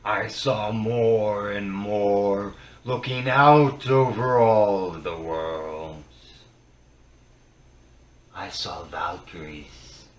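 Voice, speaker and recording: Very slow, deep-voiced man, dramatic reading. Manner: solemn and theatrical, with halting phrases and swings from hushed to booming. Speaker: storyteller reciting Norse myth. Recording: roomy, distant mic with faint noise.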